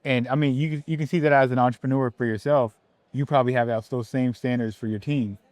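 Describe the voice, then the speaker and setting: A man's voice: mid-range voice, fairly even intonation, fast, darkish tone, dry recording. A man hosting a podcast interview, speaking in a casual, conversational way.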